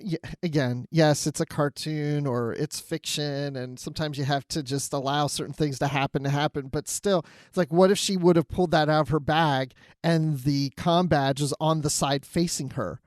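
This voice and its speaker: Higher-pitched man chatting as podcast co-host. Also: fairly even intonation, normal speed, few pauses, no echo, clean studio sound. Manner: chatty, acts out imagined quotes. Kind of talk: casual review chat.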